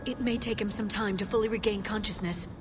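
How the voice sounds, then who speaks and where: An actress playing a calm female alien scientist who speaks in a soft, careful, reassuring way in a video game cutscene. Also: mid-range voice, fast, over music, close to an everyday voice.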